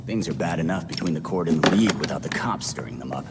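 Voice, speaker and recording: A man's voice: low-pitched, expressive, fast talker, big-room sound. An actor playing an angry, blunt young male vigilante who broods, in a video game cutscene. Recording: clear background noise under dialogue.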